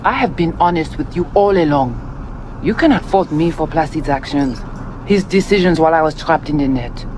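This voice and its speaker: A woman's voice: sits low, expressive, background noise. Guarded Haitian-accented woman gang leader. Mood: persuading, calm. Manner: formal, measured, terse, authoritative. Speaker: game character, gang leader. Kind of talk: video game dramatic acting.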